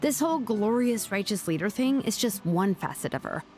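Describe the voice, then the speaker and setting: A woman voicing a relaxed, cheeky longtime companion in a video game, teasing and playfully defensive. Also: medium pitch, normal speed, slight background noise, lightly acted. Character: laid-back, teasing woman, old companion of the leader.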